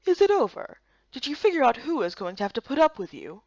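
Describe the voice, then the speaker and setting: A woman's voice: high-pitched, big pitch swings, fast, darkish tone, dry recording, muffled recording. A woman voicing a smug, sarcastic male guard leader in a visual novel game, sardonic and condescending. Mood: mocking.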